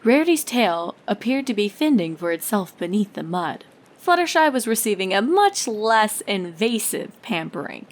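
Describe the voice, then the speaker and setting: A woman's voice: mid-range voice, very animated, moderate pace. A woman reading fan fiction aloud as a scripted story narrator.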